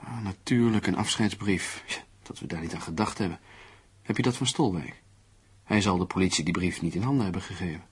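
A man's voice: low-pitched, a little fast, husky. An actor in a radio play playing a worried, sardonic man with an emphatic, condescending way of talking. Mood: wry, pondering. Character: worried, sardonic man lecturing an amateur sleuth.

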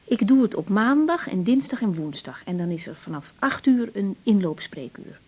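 A woman's voice: mid-range voice, animated, normal speed, a little mellow, slightly rough. An actress playing a busy, reassuring young woman doctor in a naturalistic radio drama. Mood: brisk, matter-of-fact. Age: young adult. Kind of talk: naturalistic 1980s Dutch radio drama acting. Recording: slightly dull 1980s radio recording.

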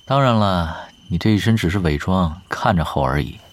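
An actor playing a laid-back, gently teasing young male gamer in an audio drama. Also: deep voice, animated, moderate pace, slight background noise. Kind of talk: Chinese audio drama acting.

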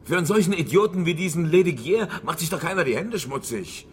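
An actor playing a gruff, dismissive chairman of local traders in a radio crime drama, scornful and evasive. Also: fairly high pitch, expressive, fast talker, slight background noise, lightly acted. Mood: scornful, indignant.

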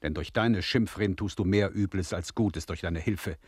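Poor proud farmer dad, radio drama, low raspy voice, bitter n stubborn. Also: male voice, moderate pace, dry recording, clean studio sound. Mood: bitter, defiant. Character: proud, aggrieved, penniless peasant father. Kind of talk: German radio drama acting.

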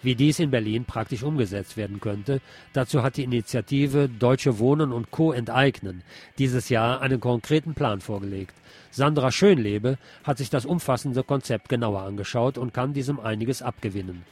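A man's voice: medium pitch, normal speed, a little mellow, breathy edge. A man hosting a radio programme, reading a scripted political commentary. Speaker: radio host.

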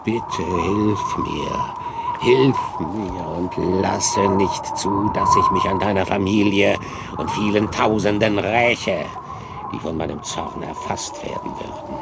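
An actor playing a huge, wretched man-made creature in a radio play, halting and surging between pleading and menace, with a breathy rasp. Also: low voice, heavy background noise, exaggerated voice. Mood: desperate pleading. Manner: halting, heavy delivery with sudden surges. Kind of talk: dramatic radio play acting.

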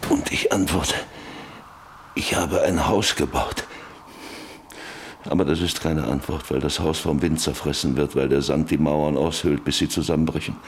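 An actor in a German radio drama playing an old, lonely rancher who broods bitterly over his life in halting, repetitive phrases. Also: a bass voice, slight background noise. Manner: brooding, halting, repeating words.

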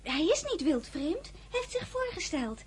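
An actress playing an impulsive, eager teenage merchant's daughter in a girlish, excitable manner in a radio drama. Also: very high-pitched, moderate pace, slight background noise. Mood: defensive.

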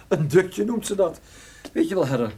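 An actor playing a suave, flirtatious private detective trading amused, teasing banter in a radio play. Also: male voice, high voice, animated, measured pace, a little mellow, a little hiss or hum. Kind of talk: radio drama dialogue.

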